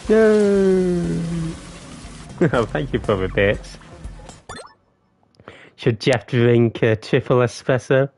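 A man's voice: animated, very slow, vocal fry, long pauses, darkish tone, no echo, faint music behind. A man, casually chatting with his live-stream viewers while gaming, playful and jokey. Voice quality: deep voice. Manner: playful, jokey, bursts into silly singing.

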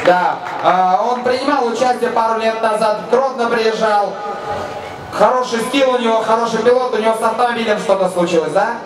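A man announcing a motorsport event to the crowd, loud and energetic, shouting over the track. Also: high-pitched, fairly quick. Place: outdoor drift track. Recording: echoey PA sound from far off with music.

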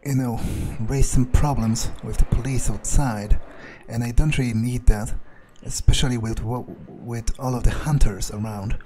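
A man's voice: medium pitch, fairly even intonation, normal speed, few pauses, dark tone, a little hiss or hum. A man narrating his character's thoughts aloud during a game, hesitant and musing, with muttered curses. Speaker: gamer playing in character. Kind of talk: in-character roleplay monologue over gameplay.

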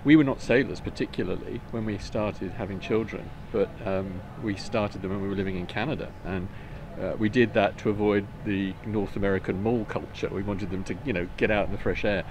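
An older man, the parent of an athlete, chatting warmly in an outdoor interview at a marina. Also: lowish voice, fairly even intonation, a little fast, few pauses, noisy background. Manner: warm, chatty, laughs between answers. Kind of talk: casual interview.

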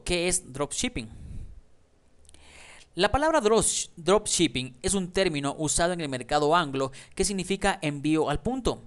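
A man narrating a slideshow tutorial on starting an online business, speaking straight to the viewer as a friend, with a voice-over recorded on a close mic. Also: on the high side.

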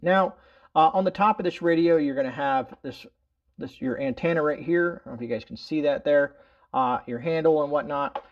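A middle-aged man with a fairly high voice walks through a product demo. He talks informally and wanders through his explanations, tacking verbal tags onto phrases. The recording is slightly dull. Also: a little fast. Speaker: host of a prepping product review channel. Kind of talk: casual product demonstration. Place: indoors in a room at home.

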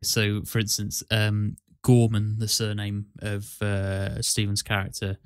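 Low-voiced man chatting in an even tone. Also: slow, clean tone, dry recording, studio quality. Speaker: podcast host and amateur voice actor. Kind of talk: casual podcast chat.

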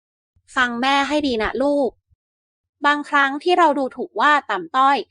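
A woman's voice: high-pitched, animated, a little slow, bright, forceful tone, dry recording, clean studio sound, full character voice. A woman voicing a wise mother elephant patiently teaching her calf in a fable. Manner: patient, instructive.

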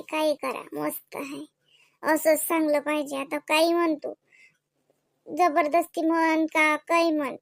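A woman's voice: high voice, vocal fry, no echo, clean studio sound. A woman voicing an anxious, devout young village wife in a comedy cartoon, homely in manner, with a Varhadi Marathi accent. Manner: pleading, homely, chatty.

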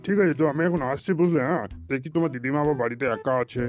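A man's voice: a little high, rapid-fire, a little mellow, faint music behind, lightly acted. A man voicing an elderly, easily offended, chatty grandfather in a comedy cartoon. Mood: mollified, helpful.

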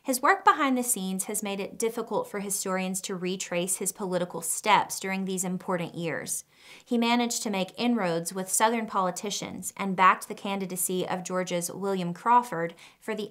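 A woman's voice: mid-range voice, fairly bright tone. Young woman narrating a scripted lesson. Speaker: educational video presenter. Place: studio with a plain wooden backdrop.

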